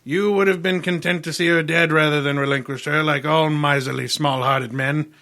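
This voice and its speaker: A grandiloquent male detective of old-time radio, acted out by a man, with a forceful, highish voice. He is erudite and self-satisfied, fond of his dinner, and lays out each phrase with theatrical deliberation. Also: fairly even intonation, moderate pace. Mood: accusing, triumphant. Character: pompous, erudite, gluttonous male genius detective.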